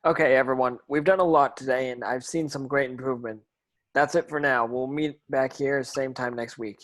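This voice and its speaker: A man's voice: medium pitch, fairly even intonation, normal speed, a bit bright, close to an everyday voice. A man reading the part of an earnest teenage boy wizard instructing his schoolmates in an amateur script read-through. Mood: encouraging. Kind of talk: amateur table read of a screenplay.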